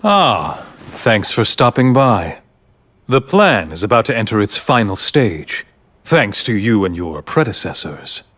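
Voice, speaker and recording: An actor playing a calm, persuasive leader of a grand scheme in a video game, speaking in formal, measured, eloquent phrases. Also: male voice, on the low side, full character voice. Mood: courteous, composed. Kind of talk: dubbed video game cutscene acting.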